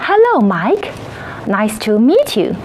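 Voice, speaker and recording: A woman teaching a children's English lesson, encouraging and praising in slow, sing-song teacher talk. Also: a little high, huge pitch swings, a little mellow, slight background noise, low-quality recording.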